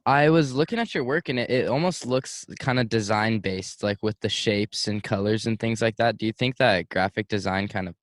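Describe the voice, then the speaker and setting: A man hosts a casual podcast chat, leaning on filler words as he talks. His voice sits low. The sound is dry and close. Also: moderate pace, few pauses, no echo. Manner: relaxed, frequent "like" and "um" fillers. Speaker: podcast host. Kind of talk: casual conversation.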